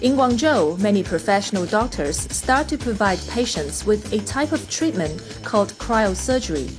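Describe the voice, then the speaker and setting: A woman narrates a scripted feature in documentary style. Her pitch is average for a woman. Music plays clearly behind her voice.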